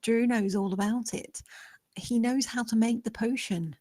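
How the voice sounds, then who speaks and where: A woman at a table read playing a calmly certain, consoling woman in a film drama. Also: a little high, unhurried, no room sound, studio quality. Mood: quietly convinced. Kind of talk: screenplay table read acting.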